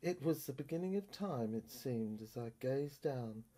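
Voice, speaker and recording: A woman performing a dramatic literary monologue in a theatrical, intense and halting way, in broken phrases. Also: rumbling low, expressive, warm tone. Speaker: performer reading a serial screenplay.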